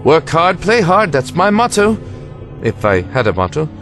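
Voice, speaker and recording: Male actor for breezy, reassuring time-travelling hero, animated mid-range delivery, music behind, audio drama. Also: fairly high pitch, normal speed. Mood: breezy, playful.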